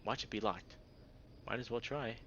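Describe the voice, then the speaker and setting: A man's voice: medium pitch, moderate pace, fairly bright tone, highs cut off, a little hiss or hum, close to an everyday voice. A man voicing a nerdy, snarky young creature from a visual novel, with dry, geeky banter. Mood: casual.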